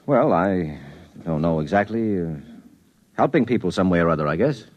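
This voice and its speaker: A man's voice: deep voice, expressive, moderate pace, a little mellow, muffled recording. An actor playing a worried, skeptical married man in a 1950s radio drama, plainspoken and wry.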